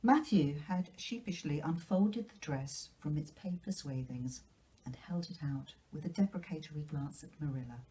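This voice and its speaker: A woman reading a children's classic aloud as a storyteller, with careful, expressive delivery. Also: low voice, normal speed, slight background noise, poor audio. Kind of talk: storytelling, scripted narration from a novel.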